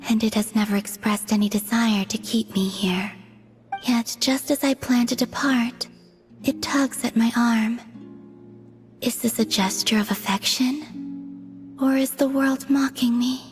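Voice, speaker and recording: Woman acting a wistful moon girl in a game, breathy n deadpan, highish voice, big reverb, music under it. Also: lightly acted. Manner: soft, breathy, measured, deadpan. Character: otherworldly young moon maiden, wistful, serene, detached. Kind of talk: dramatic video game voice acting.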